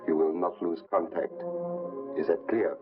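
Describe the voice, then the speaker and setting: Male, domineering gangland chief, 1950s film: low, cold, clipped orders, slightly muffled vintage sound. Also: steady tone, measured pace, music in the background. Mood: menacing. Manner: commanding, curt. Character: cold, domineering crime boss. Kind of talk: 1950s crime film acting.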